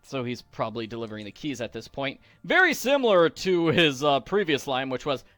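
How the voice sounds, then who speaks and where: A man's voice: medium pitch, very animated, a little fast, a bit bright, no room sound. A man hosting a video game walkthrough with casual, chatty commentary, recorded close on a mic. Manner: chatty, acts out quoted lines.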